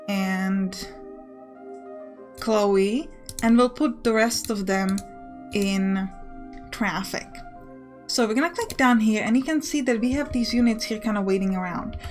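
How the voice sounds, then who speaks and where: A woman with a fairly high voice hosts a let's play, talking casually through what she is doing in the game. She explains things as she goes, with music playing behind her.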